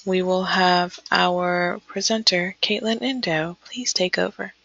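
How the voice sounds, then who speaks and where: A woman's voice: lowish voice, fairly even intonation, takes it slowly, vocal fry, a bit bright, no room sound. A woman hosting a company webinar, politely and formally introducing the presenter. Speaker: webinar host. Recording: dull lo-fi dry line.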